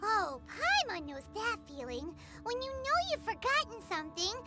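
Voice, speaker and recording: Tiny eager game fairy, extremely high squeak, swooping delivery, music underneath. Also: female voice, sing-song, moderate pace. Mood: curious. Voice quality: squeaky, childlike. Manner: refers to self in third person, exclamatory. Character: tiny childlike female fairy companion, eager, chatty, curious. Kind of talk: video game voice acting.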